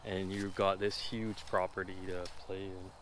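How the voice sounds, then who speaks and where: A man's voice: deep voice, flat delivery, a little slow, fairly bright tone, a little hiss or hum. A man casually vlogging from a rural farm, chatty and rambling, with lots of fillers. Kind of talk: casual handheld vlog narration.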